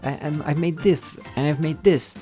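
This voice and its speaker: A man giving a rambling, sarcastic video commentary with deadpan asides. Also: a little high, normal speed, faint music behind. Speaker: commentary YouTuber. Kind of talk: ranking commentary.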